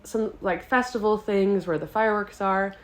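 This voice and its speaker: A young woman with a Midwestern American accent, chatting casually as a podcast guest, relaxed and full of fillers. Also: medium pitch, moderate pace. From Wisconsin, United States. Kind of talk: casual chat.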